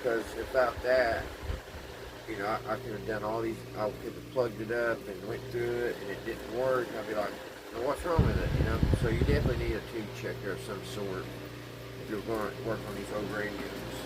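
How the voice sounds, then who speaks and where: A man's voice: on the low side, fairly quick. A man casually narrating hands-on repair work to his viewers, thinking aloud in a folksy way. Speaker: host of a radio repair channel. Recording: loud steady background hum and room noise.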